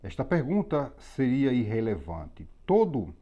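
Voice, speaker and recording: A man teaches a religious lesson, unhurried and methodical. He circles back to repeat points and pauses often. The recording sounds slightly muffled and lo-fi. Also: medium pitch, wildly swooping pitch. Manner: slow, methodical explaining with pauses and repetitions. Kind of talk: teaching a lesson on Hebrew letters.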